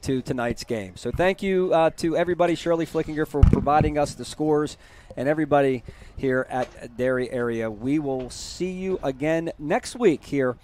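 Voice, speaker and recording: Chatty male high school football announcer. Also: a little hiss or hum. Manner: chatty, energetic, reads scores quickly. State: losing his voice. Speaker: play-by-play broadcaster. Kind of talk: postgame sports commentary. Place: press box at a high school stadium.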